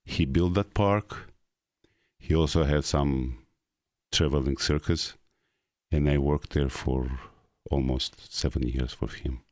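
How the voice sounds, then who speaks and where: Middle-aged male guest, Russian accent, very deep voice, hesitant broken English. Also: darkish tone, no room sound, clean studio sound. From North Ossetia, Russia. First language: Ossetian. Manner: halting English with frequent uh pauses. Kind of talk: casual interview.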